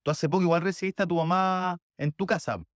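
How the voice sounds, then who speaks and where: A man hosting a podcast, asking casual, relaxed questions. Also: sits high, a little fast, no room sound, clean studio sound. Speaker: podcast host.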